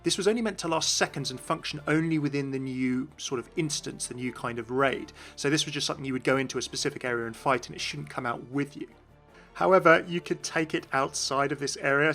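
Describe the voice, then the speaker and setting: A man's voice: on the high side, bright, forceful tone. A man narrating a video as a game commentator, explaining things in an informal way.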